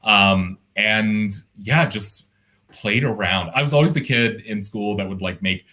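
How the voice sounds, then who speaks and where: Bright-toned man with a deep resonant voice. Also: a little slow. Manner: thoughtful, rambling, frequent fillers and restarts. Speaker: artist guest. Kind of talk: casual conversational interview. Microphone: video call.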